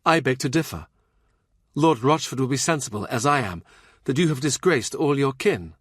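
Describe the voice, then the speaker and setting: A spiteful lady-in-waiting, acted out by a male reader, pitched well above his narration. She is prim and disapproving, with a sneering, pointed delivery in a close dry recording. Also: animated, normal speed, a bit bright, breathy edge, no echo.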